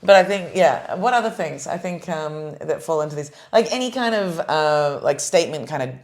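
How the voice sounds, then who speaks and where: Low-voiced woman podcast host, lively. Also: moderate pace. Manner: rambling, lots of fillers and restarts. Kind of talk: casual chat.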